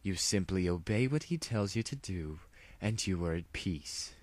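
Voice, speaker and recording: A man's voice: low voice, lively intonation, unhurried, a little mellow, no echo. A man voicing an insane female pony villain princess in a story reading, gloating and cooing with theatrical menace. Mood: soothing menace.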